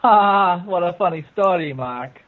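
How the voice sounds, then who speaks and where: A man with a fairly high voice chats casually about films as a guest reviewer. The sound is narrow and phone-like, as on an internet call. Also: expressive, unhurried. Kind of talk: casual group film review chat.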